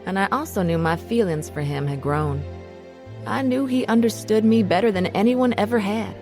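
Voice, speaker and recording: A woman's voice: mid-range voice, normal speed, a little mellow, lightly acted. Actress as a troubled, confessional mother over music. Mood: reflective narration. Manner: hesitant, confessional. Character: troubled drug-using mother, lonely, wavering, confiding. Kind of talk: radio drama dialogue and first-person narration.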